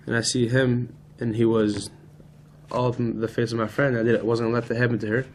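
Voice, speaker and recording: A teenage male student acting an aggrieved high schooler, talking in a halting, casual, slangy way. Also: level tone, natural voice. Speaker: student actor in a peer mediation dramatization. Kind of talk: acted school role-play dialogue. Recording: noisy room ambience.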